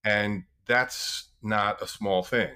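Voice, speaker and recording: A man's voice: on the low side, steady tone, slow pace, bright, forceful tone, clear, resonant tone. A man in his sixties, a healthcare practitioner, talking emphatically and bluntly in a casual podcast conversation. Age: 60s.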